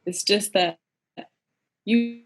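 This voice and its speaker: A young woman, a podcast guest, chatting in an animated, giggly way and peppering her speech with "like". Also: medium pitch, extremely slow. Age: young adult. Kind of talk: casual interview chat.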